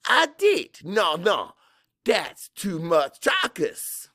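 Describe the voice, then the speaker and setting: A man's voice: high-pitched, huge pitch swings, very slow, bright, forceful tone, no echo, clean studio sound, full character voice. A man voicing a fiery, combative old Cajun man for an audiobook, emphatic and folksy, mixing French into his English. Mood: insistent storytelling.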